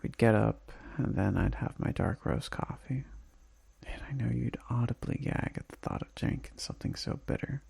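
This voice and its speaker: A man playing a homesick, tender boyfriend in an intimate ASMR roleplay voicemail, speaking softly and breathily with halting pauses and sighs. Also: fairly low pitch, gravelly, husky, no room sound, full character voice. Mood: tender, nostalgic.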